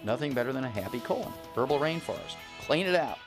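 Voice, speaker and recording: A man of eighty hosting a radio talk show, pitching products in a rambling, repetitive way with many fillers. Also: expressive, quick pace, over music. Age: 80s. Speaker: radio host.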